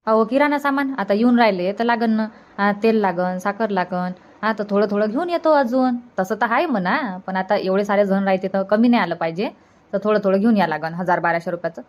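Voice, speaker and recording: A woman's voice: mid-range voice, brisk, close to an everyday voice. A woman voicing a caring, practical village mother in a cartoon comedy, chatty and advising, with a rural Vidarbha Marathi accent.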